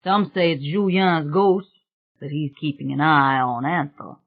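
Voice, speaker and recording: A woman voicing a wry, knowing male society gossip in an audiobook, speaking deliberately. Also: sits low, steady tone, narrow, tinny audio.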